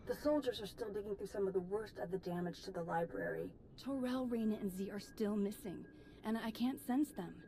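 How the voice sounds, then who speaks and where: An actress playing a dutiful, worried Jedi in a video game, giving a sober, urgent report. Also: female voice, medium pitch, brisk, warm tone, a little hiss or hum, natural voice, poor audio. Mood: worried, grim. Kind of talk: dramatic video game voice acting.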